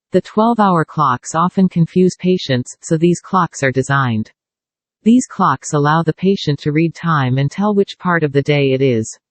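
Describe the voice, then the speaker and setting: A man's voice: a little high, no echo. A man reading a scripted passage aloud as a narrator for practice exercises.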